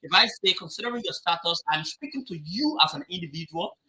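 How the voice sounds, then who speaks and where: A man's voice: high voice, big pitch swings, fast, crowd noise, poor audio. A man hosting a radio talk show, who asks probing questions with many restarts. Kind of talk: interviewing.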